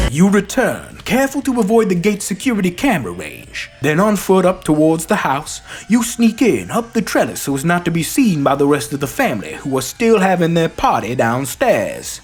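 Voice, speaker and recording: An amateur performer reciting a film monologue as a theatrical, grandiloquent gentleman detective with a husky voice. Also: medium pitch, huge pitch swings, moderate pace, soft background music. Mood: accusing, dramatic. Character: theatrical gentleman detective, dramatic, deliberate, righteous.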